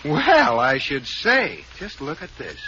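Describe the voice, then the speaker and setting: Wry teasing husband, sharp bright voice, lo-fi old radio. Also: male voice, fairly high pitch, huge pitch swings, slow pace, slight background noise, exaggerated voice. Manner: dry, wisecracking. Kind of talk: 1940s radio sitcom acting. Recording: muffled old radio broadcast.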